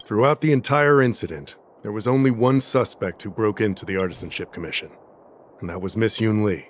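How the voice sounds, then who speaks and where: A man voicing a self-assured official in a video game, speaking formally and deliberately as he lays out accusations. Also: on the low side, natural voice. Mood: confident, accusing. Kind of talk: scripted video game dialogue acting. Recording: music behind the voice.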